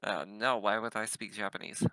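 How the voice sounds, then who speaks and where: A man's voice: on the low side, level tone, normal speed, bright, forceful tone, smooth, dry recording. A man playing a casual, wry female spaceship captain in a tabletop game, speaking in an offhand way.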